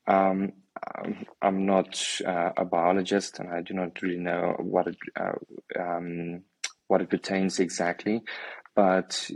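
A man with a South African accent whose first language is Afrikaans, talking casually about hunting and pausing often with ums and uhs. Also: low-pitched, monotone, no room sound. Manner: hesitant, many ums and uhs. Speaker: guest hunter. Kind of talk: casual conversation.

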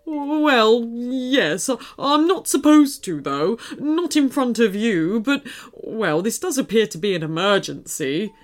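A woman's voice: fairly high pitch, sing-song, takes it slowly, hardly any pauses. A woman voicing a small, polite, nervous male teddy bear in a children's story, speaking hesitantly.

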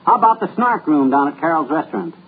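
A boastful middle-aged husband rattles off brash wisecracks at a quick clip in a slightly high voice. It sounds like an old radio comedy, thin and tinny, with a faint hiss behind it. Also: male voice, animated, slightly rough. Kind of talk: 1940s radio comedy acting.

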